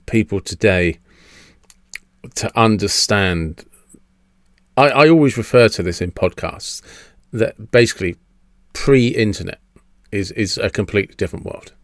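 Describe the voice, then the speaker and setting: Low-voiced rambling man in his fifties. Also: animated, measured pace, no echo, clean studio sound. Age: 50s. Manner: rambling, repeats words and restarts often. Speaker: podcast host. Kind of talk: casual conversational interview.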